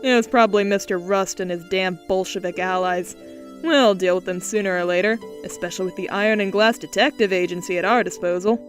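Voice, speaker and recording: A smooth-talking wealthy industrialist man, voiced by a woman in an audiobook. He speaks in polished, formal phrases with glib confidence. Faint music runs underneath. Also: mid-range voice, fairly even intonation, a little fast, natural voice.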